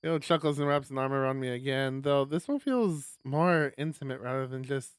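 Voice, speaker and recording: Mid-pitched game streamer, animated and rambling, swears freely, reads aloud between banter, dry close recording. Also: moderate pace, clear, resonant tone, dry recording. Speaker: streamer reading a visual novel aloud. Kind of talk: casual live game stream banter and narration.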